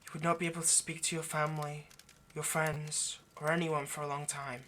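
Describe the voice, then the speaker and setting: A man's voice: a little high, little pitch movement, fairly quick. Earnest vampire lover, played by a man, noisy recording. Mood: solemn, cautioning. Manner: hesitant, stammering, gently persuasive. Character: earnest, lovestruck male vampire coaxing a partner. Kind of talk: intimate roleplay ASMR monologue.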